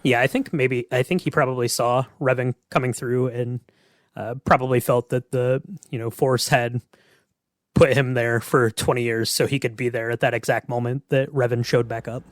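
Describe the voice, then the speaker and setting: A man's voice: mid-range voice, fairly even intonation, moderate pace, dry recording. A man hosting a podcast, chatting casually and explaining things in a tentative, hedging way. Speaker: podcast host. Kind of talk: casual chat about game lore.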